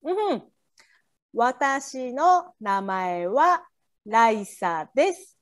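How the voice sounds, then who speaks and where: A woman's voice: a little high, very animated, slow pace. A Japanese woman with a Japanese accent, encouraging as she casually teaches phrases bit by bit. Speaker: guest language tutor.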